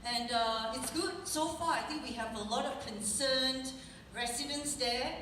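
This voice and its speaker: A woman's voice: high voice, unhurried, pushed and bright, distant mic. A woman with a Malaysian accent speaking informally and conversationally as a resident at a town hall meeting. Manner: conversational, engaging the audience with questions. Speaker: resident speaking for concerned neighbours. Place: large indoor hall at a public meeting. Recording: echoey hall from afar, noisy crowd.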